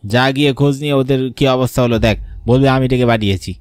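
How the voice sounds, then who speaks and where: A man's voice: medium pitch, quick pace, a little mellow, smooth, dry recording, lightly acted. An actor playing a suspicious, bullying landowner in a horror cartoon, scolding and accusing his workers. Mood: angry accusing. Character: suspicious, bullying male landowner and farm master. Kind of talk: dramatised horror cartoon dialogue. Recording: music bed under the voice.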